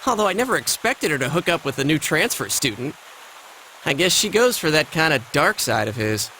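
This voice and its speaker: High-pitched cocky teenage boy. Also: big pitch swings, fairly quick, sharp tone, a little hiss or hum, rough recording. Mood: gossipy. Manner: teasing, casual, slangy. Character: cocky, teasing, gossipy teenage schoolboy pal. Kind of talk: anime game voice acting.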